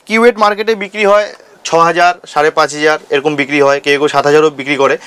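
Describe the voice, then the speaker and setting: A man giving an informal sales pitch as a shop host, repeating key details for emphasis. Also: a bit bright, clean tone. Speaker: shop owner and vlog host.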